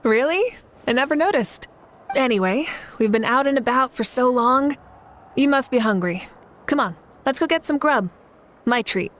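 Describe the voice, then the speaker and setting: A woman's voice: sits high, expressive, normal speed, a bit bright, a little hiss or hum. An actress playing a confident, playful young woman leader in a video game, with an assured, teasing manner. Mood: teasing, upbeat. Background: game music.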